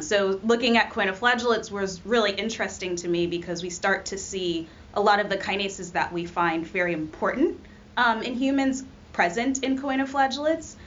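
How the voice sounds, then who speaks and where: A woman's voice: mid-range voice, fairly bright tone. A young woman from Rwanda, a postdoc researcher, explaining her work in an interview with frequent ums and uhs. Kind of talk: conversational science interview. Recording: slightly dull audio with faint noise.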